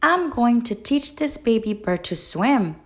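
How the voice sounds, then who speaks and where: A woman voicing an excitable, boastful male duck in a children's storybook read-aloud, exclaiming and squawking. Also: a little high, unhurried.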